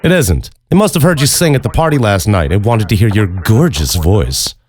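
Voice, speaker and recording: A man's voice: fairly low pitch, very animated, normal speed, darkish tone. An actor playing a boisterous, teasing male air traffic supervisor in an audio drama. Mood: teasing, playful.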